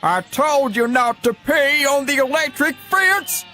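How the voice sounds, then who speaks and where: A man voicing a smug, scolding cartoon mentor in a loud, chiding manner for an animation demo. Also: extremely high pitch, lively intonation, unhurried, music in the background, pitched above the performer's own voice.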